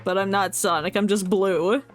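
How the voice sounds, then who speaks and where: A woman's voice: mid-range voice, fairly even intonation, normal speed. A woman streamer giving casual live commentary on a game, joking and heckling sarcastically. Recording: game music behind the voice.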